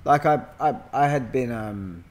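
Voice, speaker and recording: A man in his thirties speaks with a London accent at a middling pitch. He tells stories as an interview guest, loosely and colloquially, often repeating his words as he goes. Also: measured pace, darkish tone. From London, England. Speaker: guest actor. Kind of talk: casual interview storytelling. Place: bright indoor room with sofa. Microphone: podcast microphone on boom arm.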